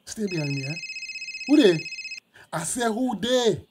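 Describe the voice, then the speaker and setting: An actor playing a devoted, hot-tempered young boyfriend in a radio drama, speaking colloquial Creole with a Caribbean accent. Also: male voice, high-pitched, expressive, measured pace, noisy background. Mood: threatening. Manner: colloquial Creole, confrontational when crossed.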